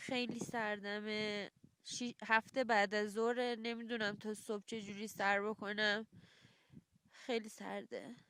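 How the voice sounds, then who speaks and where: A woman's voice: mid-range voice, level tone, very fast, pushed and bright, clean tone. A woman from Iran recording herself on a phone, distressed and crying in short, broken phrases. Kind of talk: phone video diary.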